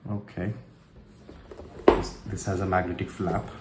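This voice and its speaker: A man with an Indian accent narrating an unboxing casually and unscripted, in halting phrases broken by pauses. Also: deep voice, little pitch movement. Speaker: tech and motorcycle vlogger. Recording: roomy echo with faint background noise.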